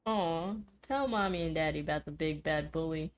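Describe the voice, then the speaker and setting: A woman's voice: low voice, normal speed. A woman game streamer giving casual, wry and teasing commentary on a playthrough.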